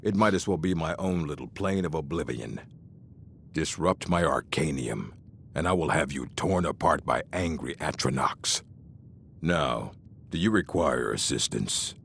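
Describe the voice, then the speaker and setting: An actor voicing a stern, grumpy old orc librarian in a fantasy game, gruff and contemptuous, with a gravelly voice. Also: male voice, a bass voice, lively intonation, a little slow, a bit bright, slight background noise, full character voice. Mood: stern, threatening. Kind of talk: fantasy video game voice acting.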